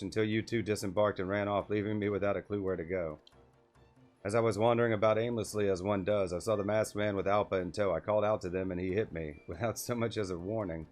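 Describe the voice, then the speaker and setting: A man's voice: fairly low pitch, darkish tone, close to an everyday voice. A man voicing a chatty, scatterbrained male game adventurer who talks in polite, flowery, mock-formal sentences. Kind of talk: video game dialogue read aloud.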